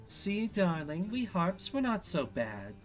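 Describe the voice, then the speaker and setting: A man's voice: high-pitched, very animated, slow, faint music behind, voice pushed up, heavily acted. A man voicing a sly, flirtatious winged harpy woman from a game, with a teasing manner. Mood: confident. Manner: teasing, saying darling.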